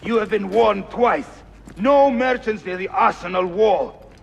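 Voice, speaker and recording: Barking, high-pitched male guard. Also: a little slow, creaky, in a large hall, muffled recording, a little hiss or hum. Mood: angry, threatening. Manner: barking, menacing orders. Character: hostile bullying male city guard. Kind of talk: dramatic game cutscene acting.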